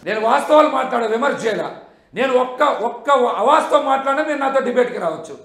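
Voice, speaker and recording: A man's voice: very high-pitched, animated, fast, gravelly, a bit bright. A man with an Andhra Telugu accent in a heated live TV debate, firing the same accusing questions again and again. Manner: combative, repeats his questions over and over. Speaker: politician on a debate panel.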